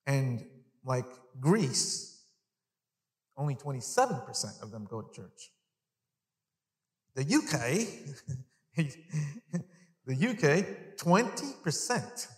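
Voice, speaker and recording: A man in his fifties delivers a sermon in a fairly high-set voice. He talks conversationally, repeating key phrases and putting questions to his listeners. The sound is echoey, with the long reverb of a big hall. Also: sing-song, slow pace, long pauses. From Long Island, New York, United States (born in El Salvador). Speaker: preacher. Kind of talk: sermon to a live congregation. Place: large indoor church hall, speaking to an audience.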